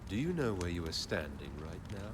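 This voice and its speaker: A stern club doorman in a video game. He is formal and officious, with a lowish voice that rises and falls a lot. Faint ambient noise sits behind. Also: very animated, fairly quick. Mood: stern, challenging.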